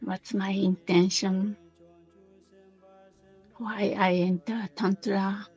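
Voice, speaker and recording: Slow, gentle woman guiding meditation. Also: mid-range voice, level tone, husky, soft background music. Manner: gentle, unhurried, with pauses between short phrases. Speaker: meditation teacher. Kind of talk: guided meditation.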